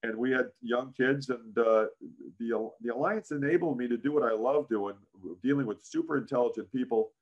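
A man in his sixties with a deep voice, a guest in a casual interview, telling career stories with many "frankly"s and "uh"s. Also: moderate pace, lo-fi sound. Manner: frequent "frankly" and "uh", animated anecdotes. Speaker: interview guest, business founder.

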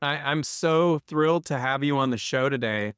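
A man's voice: medium pitch, moderate pace, dry recording, clean studio sound. A man hosting a podcast interview, reading the introduction and asking questions in an encouraging way. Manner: warm, encouraging, reads intro smoothly. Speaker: podcast host.

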